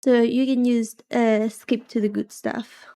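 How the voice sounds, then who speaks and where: A soft-spoken woman streamer with a slightly high, mellow voice chats casually over her gameplay. She rambles easily between thoughts and talks straight to her viewers. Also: flat delivery, measured pace. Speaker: VTuber streamer. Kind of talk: casual chat while gaming.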